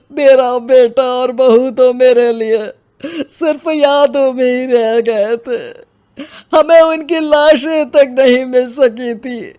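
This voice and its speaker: A woman voicing a grieving old male village headman in a cartoon, talking slowly and breaking into sobs. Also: high-pitched, dark tone. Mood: grief-stricken. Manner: slow, sobbing, breaking into weeping. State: crying. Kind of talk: Hindi cartoon voice acting.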